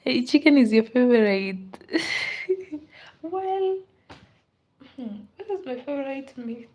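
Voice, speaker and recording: A tired, unwell woman in a casual live stream, greeting viewers and chatting softly with frequent laughs, sometimes dropping to a whisper. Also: high-pitched, animated, takes it slowly. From Kenya. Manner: soft-spoken, laughs often, drifts into whispers. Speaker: livestreamer.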